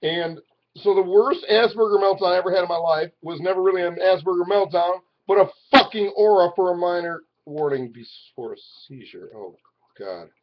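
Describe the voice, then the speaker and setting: Erratic man rambling into a webcam. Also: moderate pace. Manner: swings between loud outbursts and low mutters. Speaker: vlogger. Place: living room at home, indoors. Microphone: webcam at arm's length. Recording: dull lo-fi webcam audio.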